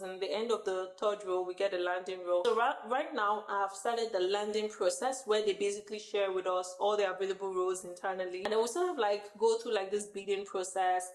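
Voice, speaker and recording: Chatty young Nigerian woman, roomy sound. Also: medium pitch. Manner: friendly, rambling, many likes and self-corrections. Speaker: vlogger. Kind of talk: casual chatty monthly recap.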